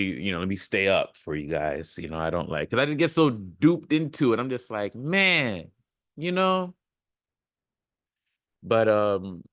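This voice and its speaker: A man with a lowish voice talks straight to his audience in a dry, close recording. His delivery is a casual, earnest self-development monologue. He rambles in slangy phrasing and repeats his points to drive them home. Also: no echo. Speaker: self-development video creator. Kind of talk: casual motivational monologue to viewers.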